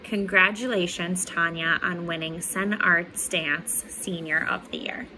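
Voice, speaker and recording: A woman's voice: lowish voice, steady tone, a little slow, very noisy. A woman in her twenties gives a scripted award tribute into a phone at arm's length. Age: 20s. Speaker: award presenter. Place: small room at home.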